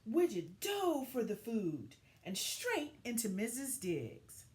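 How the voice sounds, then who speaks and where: Slow, very expressive woman teacher. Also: fairly high pitch, slight background noise. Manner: deliberate, dramatic phrasing for young children. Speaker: teacher reading aloud to young children. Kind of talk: expressive storybook read-aloud and lesson.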